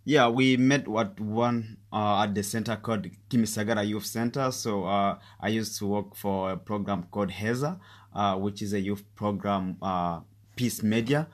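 A young man from Rwanda with a Rwandan accent, Kinyarwanda his first language, speaking as a podcast guest in a hesitant way, with many pauses and fillers. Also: on the low side. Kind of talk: casual interview chat.

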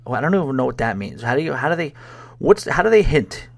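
Man, podcast host, casual solo talk, wry and sarcastic, mid-pitched voice. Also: very animated, fast talker, vocal fry. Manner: chatty, wry and sarcastic, with many restarts.

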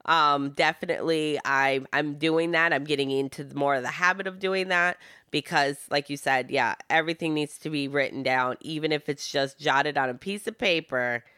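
A woman with a low, bright, forceful voice talks about her plans in a casual, emphatic way. She laughs often, and the recording is dry and close. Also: moderate pace, smooth, no room sound. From Italian family, raised in America. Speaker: podcast host. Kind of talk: casual conversation.

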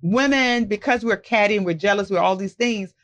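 Woman guest preaching in an interview, animated, does little acted-out bits, mid pitch. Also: brisk. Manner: animated, sermon-like, with acted-out asides. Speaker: interview guest, magazine executive. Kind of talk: preaching-style testimony.

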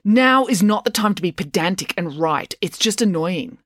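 A woman's voice: lowish voice, animated, normal speed, dry recording, studio quality, voice pushed up. A woman voicing a hot-tempered, argumentative young girl in a storybook, blunt and combative. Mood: indignant, bossy.

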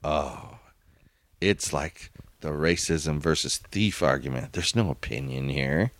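A man's voice: very deep voice, takes it slowly. A man co-hosting a casual podcast, arguing his points emphatically and bickering with his co-host. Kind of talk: casual opinionated chat.

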